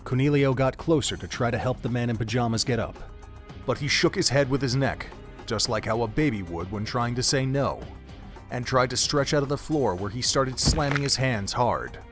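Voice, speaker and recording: Male narrator, medium pitch, dramatic storytelling, scripted audio drama, music underneath. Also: moderate pace, fairly bright tone.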